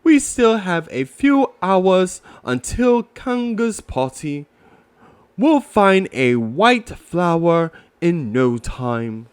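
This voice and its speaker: A man's voice: high-pitched, very animated, drawn-out words, smooth, exaggerated voice. A man voicing a mild, simple, earnest toy bear in a children's storybook. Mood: reassuring. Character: gentle, slow-witted, earnest toy bear.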